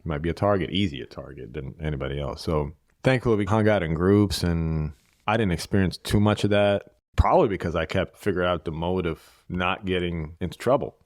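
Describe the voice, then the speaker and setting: Deep-voiced man, measured and reflective. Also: darkish tone, dry recording, studio quality. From Bosnia. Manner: measured, repeats key phrases. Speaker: guest. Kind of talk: reflective interview conversation.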